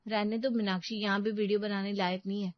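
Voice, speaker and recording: A woman voicing an eager, vain and bossy newlywed vlogger in an animated moral story, showy and insistent. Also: mid-range voice, quick pace, no echo, highs cut off, natural voice. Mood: disdainful.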